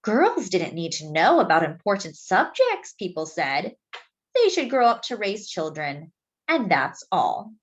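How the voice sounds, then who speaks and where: Slow, animated woman reading a storybook. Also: mid-range voice, dull audio. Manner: deliberate, simple explaining as if to young children. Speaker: children's storybook reader. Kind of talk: expressive picture-book read-aloud with brief explanatory asides.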